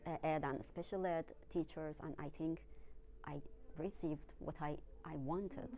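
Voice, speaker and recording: Halting Azerbaijani-accented woman teacher. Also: sits low, fairly even intonation, noisy background. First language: Azerbaijani Turkish. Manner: halting, with fillers and pauses. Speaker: teacher being interviewed. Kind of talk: casual interview answers.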